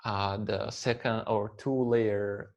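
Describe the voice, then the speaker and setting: A man in his thirties from Slovakia, an English speaker, talking as an interview guest in a halting way with many fillers and restarts. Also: on the low side, steady tone, vocal fry, highs cut off. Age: 30s. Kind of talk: conversational interview.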